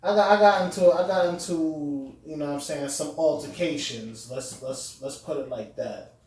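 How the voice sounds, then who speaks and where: A young man with a high voice, chatting casually as a podcast guest with lots of fillers and restarts. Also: normal speed, a little mellow. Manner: laid-back, many fillers and restarts. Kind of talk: casual chat. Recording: echoey room, mic far off.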